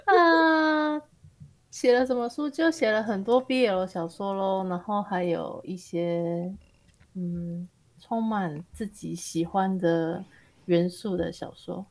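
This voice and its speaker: A woman's voice: mid-range voice, steady tone, slow pace. A woman with a Taiwanese Mandarin accent chatting casually as a guest author, self-deprecating and exclamatory. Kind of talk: casual chat.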